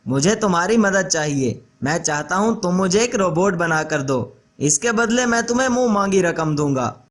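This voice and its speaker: A man's voice: high voice, animated, normal speed. A man voicing a rich, jealous, power-hungry village schemer in a children's story. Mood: persuading.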